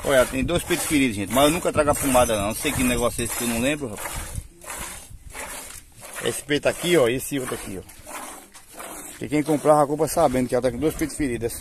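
A man's voice: medium pitch, quick pace, long pauses. A man with a Northeastern Brazilian accent giving casual, rustic live commentary to viewers as a cattle-selling vlogger. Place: outdoor cattle corral. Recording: noisy outdoor farm recording.

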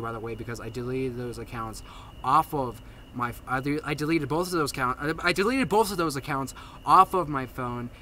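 A young man who stutters, giving a casual update to camera in a halting way, repeating and restarting his phrases. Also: medium pitch, bright, forceful tone, a little hiss or hum. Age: young adult. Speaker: video maker giving a channel update. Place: outdoors at night on a paved path.

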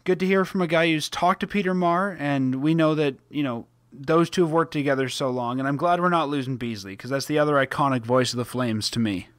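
Higher-voiced podcast host man. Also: a little fast, hardly any pauses, fairly bright tone, no echo. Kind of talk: casual chat.

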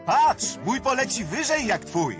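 A man's voice: very high voice, very animated, a little slow, music in the background, full character voice. A young man playing a chubby, naive, simple boy in a cartoon sketch. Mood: plain. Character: Pucuł, chubby slow boy who speaks incorrectly.

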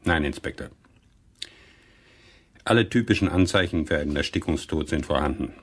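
Wanted: radio-play medical examiner, deep register, professional, clinical, factual delivery. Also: male voice, expressive, normal speed. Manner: factual, formal. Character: professional, clinical male police doctor. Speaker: actor in an audio drama. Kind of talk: German crime radio play acting.

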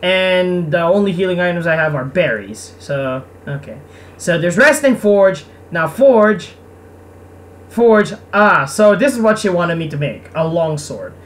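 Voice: mid-range voice, animated, a little slow. A gamer giving casual gameplay commentary in a sarcastic, foul-mouthed, grumbling way. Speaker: let's player. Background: game music.

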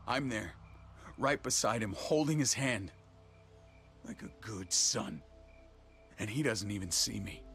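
A man's voice: medium pitch, fairly bright tone. A man playing a blunt, intense, grieving older brother in a cinematic video game drama. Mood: bitter, pained. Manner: blunt, foul-mouthed, intense. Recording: music underneath.

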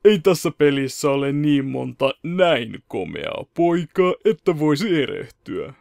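A man's voice: a little high, lively intonation, vocal fry, darkish tone, dry recording, clean studio sound. A man playing a cocky, shameless teenage boy swordsman in an anime parody dub, casual and smug.

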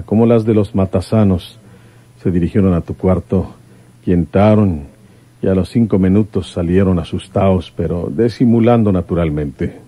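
An actor playing a plain-spoken, protective country detective in a radio drama, with a husky voice and rural northern Mexican accent. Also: male voice, deep voice, dark tone. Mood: confiding. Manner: folksy country talk.

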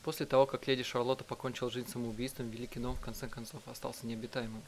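A man reading out a live translation of a visual novel in a halting, self-correcting way. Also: mid-range voice, flat delivery, background noise. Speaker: let's player reading out translations. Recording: music behind the voice. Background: game music.

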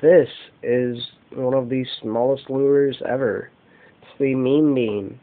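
A man with a mid-pitched voice talks slowly through an informal product show-and-tell. His delivery comes in short, halting phrases. The sound is muffled and narrow, like a cheap camera mic in a room at home. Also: fairly even intonation. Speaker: amateur fishing vlogger showing lures. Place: indoors, carpeted room at home. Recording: dull, muffled lo-fi home video.

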